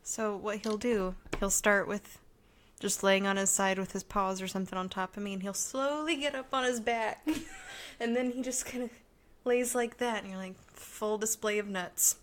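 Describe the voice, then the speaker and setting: A young adult woman co-hosting a casual podcast chat, an animated storyteller who laughs a lot and swears casually. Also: medium pitch, normal speed, slight background noise. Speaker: podcast co-host.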